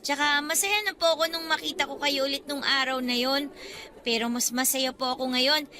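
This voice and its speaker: A woman's voice: high voice, steady tone, normal speed, sharp tone, a little hiss or hum. A woman playing a sweet, polite young schoolgirl in a radio drama. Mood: cheerful, affectionate. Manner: polite, hesitant when questioned. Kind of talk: radio drama acting in Tagalog.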